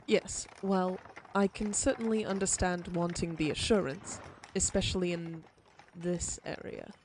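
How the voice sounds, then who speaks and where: A woman's voice: on the low side, steady tone, a little slow, a little mellow, a little hiss or hum. A woman voicing a prim, sympathetic frontier lawyer in an audio roleplay, speaking formally with old-fashioned phrasing. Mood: calm, polite.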